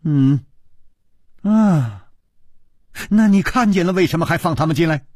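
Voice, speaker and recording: A man voicing a calm, wry, world-weary martial arts hero in a measured, hesitant drawl. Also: on the high side, dry recording. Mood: wry, puzzled.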